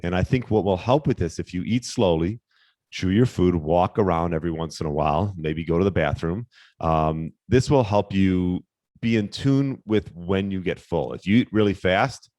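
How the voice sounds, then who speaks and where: A man with a very low, slightly hoarse voice, giving fitness tips on his podcast in a relaxed, rambling way. He pauses on fillers and restarts his sentences. The sound is dry and close to the mic. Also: no echo. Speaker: podcast host, fitness coach. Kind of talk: casual solo podcast tips.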